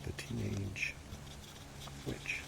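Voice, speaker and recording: A man hosting ASMR, rambling softly and unhurriedly in muttered asides that often drift into a whisper, recorded outdoors. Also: lowish voice, drawn-out words, gravelly. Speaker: ASMR video host. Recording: noisy outdoor recording, breeze and handling sounds. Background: steady ambient noise, breeze, writing and page sounds.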